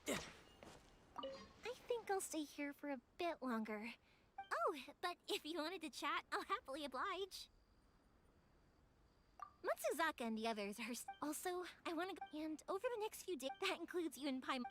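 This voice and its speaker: A woman's voice: high voice, sing-song, normal speed, pauses often, noisy background. A woman playing a cheerful, earnest young fireworks maker in a video game, chatting in a friendly way. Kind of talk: English video game voice acting.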